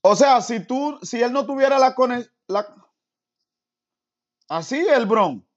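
High-voiced man on a lo-fi video call. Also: expressive. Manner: halting, restarts his questions. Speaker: interviewer. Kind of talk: casual interview over a video call. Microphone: phone on a video call.

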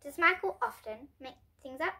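An earnest, bewildered storybook father, acted out by a young girl, speaks in a high, bright voice. The phrasing is emphatic and thoughtful, with wide swings in pitch. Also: expressive, normal speed, heavily acted. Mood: quiet, nervous.

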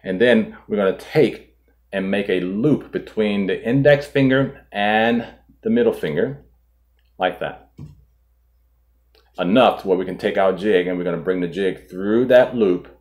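A man in his forties, host of a fishing channel, calmly walking viewers through tying a knot step by step, filmed indoors at a table. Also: fairly low pitch, measured pace, long pauses. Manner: calm, methodical, walks through each step. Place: indoor studio in front of a boat.

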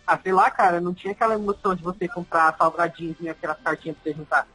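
A man's voice: sits high, racing, bright, forceful tone, breathy edge, poor audio. A young man from Brazil chatting on a podcast, reminiscing in a nostalgic way. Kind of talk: casual group chat. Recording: faint music behind.

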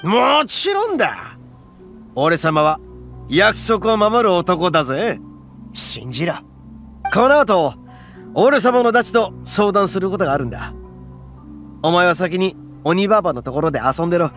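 Cocky rowdy oni boss, highish voice swinging all over, rough talk, game music. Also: male voice, big pitch swings, measured pace, pauses often, a bit bright, exaggerated voice. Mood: reassuring. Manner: swaggering, rough-talking. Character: loud brash young oni gang boss, boastful but big-hearted. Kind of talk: game voice acting.

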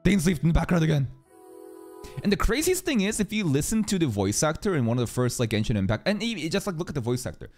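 A man's voice: fairly high pitch, sing-song, quick pace, darkish tone. A young man giving casual, profane, slangy live reaction commentary as a streamer, close on a desk microphone. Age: young adult. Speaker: streamer reacting to game video. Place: small room indoors. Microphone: desk microphone on boom arm, close. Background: music from the video.

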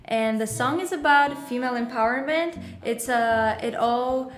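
Young woman, fairly high voice, roomy studio. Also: normal speed, faint music behind. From Bulgaria. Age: 20s. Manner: chatty, upbeat, many "like" and "uh" fillers. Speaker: singer-songwriter guest. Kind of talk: casual interview. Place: small bright studio room. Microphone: studio condenser mic on stand.

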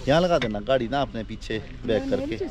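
A man with an Indian accent pitches local tours in deferential patter. His medium-pitched voice comes from off-mic on a roadside, with clear outdoor noise. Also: expressive, brisk. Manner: deferential, addresses listeners as sir and madam. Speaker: local tour tout offering horse rides. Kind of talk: sales patter. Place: outdoor roadside, heard from inside a car.

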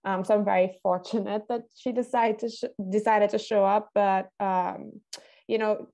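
A young woman from Trinidad speaking thoughtfully and haltingly in a casual interview as a film director. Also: medium pitch, little pitch movement, vocal fry, dark tone. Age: young adult. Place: indoor room, video call. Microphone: wired earphones on a video call.

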